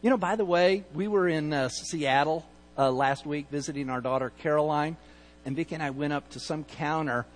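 Emphatic preacher with a light Texas twang. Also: male voice, a little high, moderate pace. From Utah. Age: middle-aged. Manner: emphatic preaching, folksy asides, repeats words. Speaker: pastor. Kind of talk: sermon. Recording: long hall reverb.

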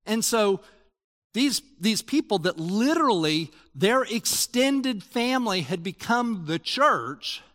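A man preaching a sermon as pastor, explaining scripture in a conversational, animated way with frequent restarts. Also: sits high, takes it slowly, long reverb tail.

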